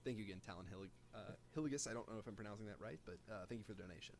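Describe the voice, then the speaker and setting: A man runs a tabletop game live as its game master. He improvises the narration as he goes, often halting to search for the next word. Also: fairly low pitch, gravelly.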